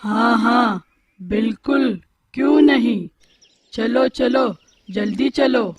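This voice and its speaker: Man putting on a high, cackling old witch. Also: takes it slowly, very noisy. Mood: impatient, eager. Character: cruel, cackling old witch who hunts children. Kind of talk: children's fairy-tale cartoon acting. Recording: loud music behind the voice.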